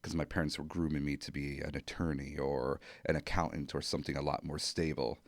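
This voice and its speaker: A man's voice: extremely low pitch, level tone, fairly quick, dry recording. A man from New York, a podcast guest, chatting casually about himself with dry, wry humour. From New York State (Brooklyn, upstate). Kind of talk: casual chat, personal recollection.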